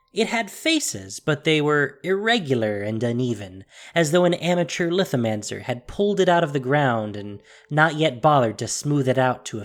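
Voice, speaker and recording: A narrator reading a scripted fantasy story in a measured, theatrical way with deliberate pauses. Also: medium pitch.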